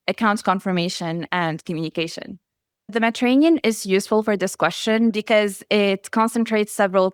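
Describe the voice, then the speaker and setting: A woman whose first language is Arabic, giving a prepared conference presentation in a measured way, reading lists item by item. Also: mid-range voice, smooth, no echo, clean studio sound. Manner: measured, enumerating lists steadily. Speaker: researcher presenting.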